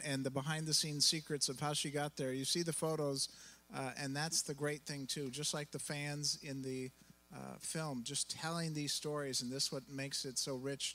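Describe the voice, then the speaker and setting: A man's voice: fairly high pitch, a bit bright. A middle-aged man moderating a live panel on stage, speaking enthusiastically into a handheld microphone. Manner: enthusiastic, playful. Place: indoor event stage.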